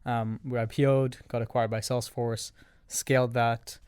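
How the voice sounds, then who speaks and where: A man from Canada speaking as a podcast guest, with a smooth, clear voice in a dry, close recording. He is measured and breaks his explanations into ordered points. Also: medium pitch, flat delivery, a little mellow, no echo. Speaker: guest, revenue operations leader. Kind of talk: interview answers.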